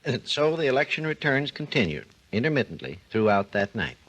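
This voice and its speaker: An actor playing a doctor calmly explaining radio history, in a 1940s radio drama. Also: male voice, mid-range voice, a little fast, rough recording. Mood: recounting. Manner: calm, explanatory storytelling.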